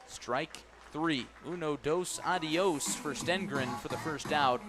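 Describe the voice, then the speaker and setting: A man calling a baseball game live on the radio as the play-by-play announcer, animated on big plays and playful with his phrasing. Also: fairly high pitch, slow pace, over loud music. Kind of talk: live radio sports commentary. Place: live at a ballpark broadcast position.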